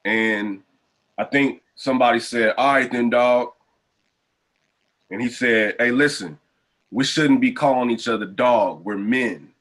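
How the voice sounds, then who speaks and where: A man hosting a casual podcast interview over a video call, talking in relaxed slang. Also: mid-range voice, a little slow, pauses often. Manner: laid-back, relaxed slang. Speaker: podcast host.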